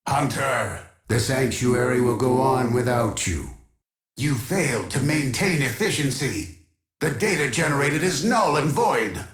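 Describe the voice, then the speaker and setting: An imperious male overseer of a science-fiction game sanctuary, speaks in a medium-pitched voice wrapped in strong echo. He is formal and clinical, a cold experimenter who commands and judges with pompous authority. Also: animated, measured pace, fairly bright tone. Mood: clinical, detached. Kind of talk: scripted video game character voice acting. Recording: echoing, reverberant game voice.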